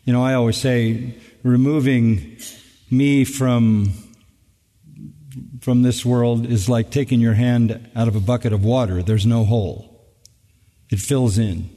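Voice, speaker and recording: An older man, a pastor answering questions from the congregation in a measured, reflective way with touches of humor. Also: medium pitch, fairly even intonation, mellow. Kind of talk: live Q&A answers. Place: large church auditorium. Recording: long reverb of a large hall.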